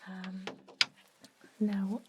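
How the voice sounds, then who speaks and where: A woman whispering an ASMR roleplay as a calm, businesslike vampire dentist, giving gentle, soft-spoken instructions. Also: mid-range voice, drawn-out words, breathy edge, highs cut off.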